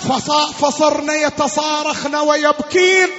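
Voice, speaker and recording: High, wailing male mourning preacher in reverb. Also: fairly even intonation, pushed and bright, some chatter behind. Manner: anguished, wailing, drawn-out delivery. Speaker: religious mourning reciter and preacher. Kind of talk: Shia lamentation sermon, half-chanted. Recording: long hall reverb, dull lo-fi sound, music-like backing.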